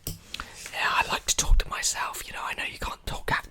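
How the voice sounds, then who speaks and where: A man in his early twenties whispering softly and breathily in an ASMR roleplay, soothing and repeating short phrases, very close to the microphone. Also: normal speed. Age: early 20s. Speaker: ASMR creator playing a robot repair technician. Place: small indoor room. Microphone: desktop condenser mic very close to the mouth.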